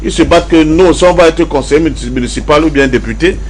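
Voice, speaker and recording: A man with a notably high voice and a Cameroonian accent speaks as a panelist in a political debate. He drives his points home emphatically, repeating key phrases and posing rhetorical questions. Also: quick pace, a little hiss or hum. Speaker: panelist in a pan-African debate.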